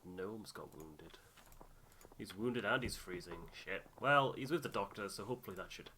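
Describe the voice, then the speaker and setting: A man's voice: fairly low pitch, lively intonation, normal speed, pushed and bright, slight background noise. A man giving casual game commentary as he plays, muttering half to himself. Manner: muttering to himself while playing. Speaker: gamer, playthrough host.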